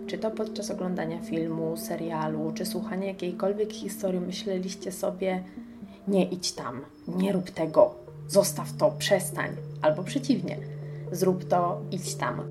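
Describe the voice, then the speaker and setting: A woman presents on radio in a fairly low voice. There is noticeable fry in it as she reviews and shares her own commentary. Music plays clearly behind her. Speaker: radio show host.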